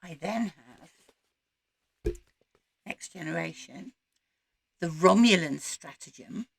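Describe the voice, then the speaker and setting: A woman with a croaky voice, recovering from voice loss, chatting casually to camera as the host of a book channel. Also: lowish voice, takes it slowly. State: croaky, recovering from losing her voice. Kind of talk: casual chat to camera about reading plans.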